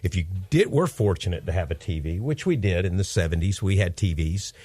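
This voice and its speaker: A man's voice: sits low, animated, brisk, mellow, no echo. Chatty man in his fifties hosting radio. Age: 50s. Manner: upbeat, chatty, rambling storytelling. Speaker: radio show host. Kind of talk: casual radio chat with his son.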